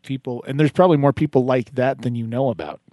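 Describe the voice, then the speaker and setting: A man's voice: medium pitch, fast, mellow, no echo. A man hosting a radio talk show, chatting casually and encouragingly with a caller, rambling a little as he gives advice. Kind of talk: casual on-air chat with a caller.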